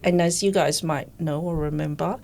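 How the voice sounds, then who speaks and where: A woman's voice: deep voice, fairly even intonation, normal speed, creaky, darkish tone. A woman in her fifties, a recruitment coach chatting candidly as a podcast guest and acting out the remarks she quotes. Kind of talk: conversational storytelling.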